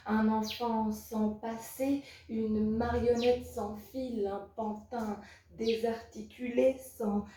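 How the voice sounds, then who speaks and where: A woman from Belgium reciting spoken-word poetry as a slam poet, in a measured, rhythmic, incantatory way. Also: on the high side, level tone, warm tone, slight background noise. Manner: measured, rhythmic, incantatory delivery with repeated refrains. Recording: very echoey, distant from the mic.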